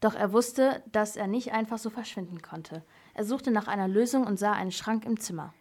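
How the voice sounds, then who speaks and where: A woman's voice: mid-range voice, moderate pace, darkish tone, husky. A young girl reading scripted narration for an amateur radio crime play. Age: child or teenager.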